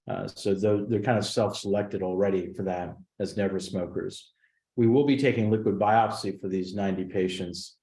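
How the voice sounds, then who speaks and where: Executive man, low and warm, measured answers on an earnings call, roomy sound. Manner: measured, explanatory, with frequent ums. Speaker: company executive answering analyst questions.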